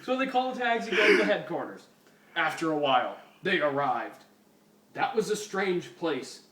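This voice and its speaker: A man's voice: on the high side, big pitch swings, moderate pace, fairly bright tone. A man giving a comic dramatic reading of fan fiction, amused and apt to break off to comment. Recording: echoey room, distant mic, faint noise.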